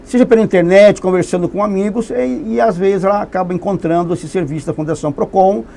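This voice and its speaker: Man, high pitched, mellow tone, consumer agency guest, explanatory, emphatic, studio interview. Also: quick pace, gravelly, a little hiss or hum. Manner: explanatory, stresses key points. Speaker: consumer protection agency representative.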